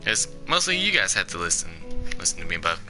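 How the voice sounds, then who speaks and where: A man's voice: low voice, big pitch swings, brisk, music in the background. A young man giving casual, rambling commentary while playing a game, leaning on "basically" and laughing often. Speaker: gaming YouTuber.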